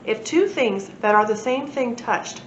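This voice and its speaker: A woman's voice: animated, roomy sound, muffled recording, a little hiss or hum. A woman voicing a mighty, pompous male volcano god in a storybook, proclaiming grandly. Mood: solemn, pompous. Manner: slow, booming, grandiose proclaiming.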